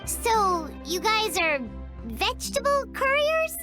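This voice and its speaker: A woman voicing a tiny, childlike, chirpy fairy companion with a squeaky voice in a video game. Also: big pitch swings, slow pace, music in the background, heavily acted. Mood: curious, chirpy.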